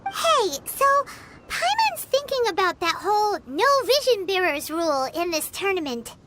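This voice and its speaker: An actress voicing a tiny, excitable female floating companion in a video game who talks about herself in the third person. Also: very high-pitched, moderate pace, heavy background noise. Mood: chatty.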